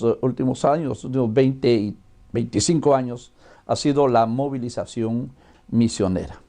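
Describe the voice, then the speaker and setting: This is an older man with a Guatemalan accent, delivering a religious lesson. His tone is mellow and soft-edged at a middling pitch. He lays out his points deliberately, explaining them as a seasoned missionary teacher does. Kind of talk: religious lecture.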